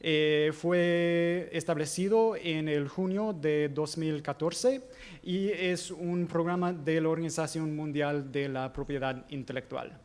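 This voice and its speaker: A man from the United States presents at a conference in his second language, with a clear American accent. He hesitates often and corrects himself mid-word. His voice is somewhat higher than most men's, and he speaks into a microphone. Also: fairly even intonation, slow pace, a little mellow. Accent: American English accent in Spanish. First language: English. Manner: hesitant, many fillers and self-corrections. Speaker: invited presenter.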